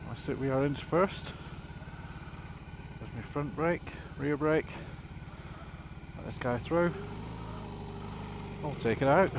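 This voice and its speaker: A man's voice: fairly high pitch, slow, pauses often. A man with a slightly blocked nose, chatting casually as he reviews a motorcycle while riding it and describing each move. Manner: chatty, narrates his actions while riding. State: sniffly, a bit blocked nose. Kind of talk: casual ride commentary. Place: on a motorcycle on the road outdoors. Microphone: action camera mounted on chin. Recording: loud engine and wind noise.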